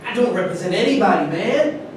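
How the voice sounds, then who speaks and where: A man acts out an evasive, defensive denier in a stage play. His voice is very high and lively in pitch, heard through heavy echo. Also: a little fast. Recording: very echoey distant room, rumbling noise.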